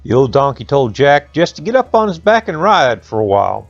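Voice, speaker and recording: A man's voice: medium pitch, sing-song, fairly quick, vocal fry, dry recording, dull audio, faint music behind. A man telling a folksy tale to children in colloquial dialect. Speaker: storyteller. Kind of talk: folksy oral storytelling for children.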